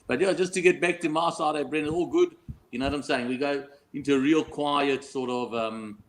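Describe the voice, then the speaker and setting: A man's voice: on the high side, fairly even intonation, moderate pace, low-quality recording. A South African man chatting casually as a betting guest, bantering and often ending on tag questions. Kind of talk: casual chat.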